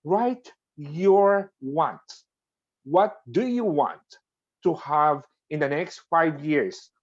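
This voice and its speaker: A man hosts an online meeting in a coaxing, motivational tone, often checking in with his listeners. His voice is somewhat high for a man, and the call audio sounds dull and lo-fi. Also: lively intonation, very slow. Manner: coaxing, checks in with "okay?" and "right?". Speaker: meeting host.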